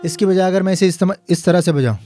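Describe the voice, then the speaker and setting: A man teaching a piano lesson, explaining calmly and counting the beats aloud. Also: sits high, racing. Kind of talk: tutorial lesson.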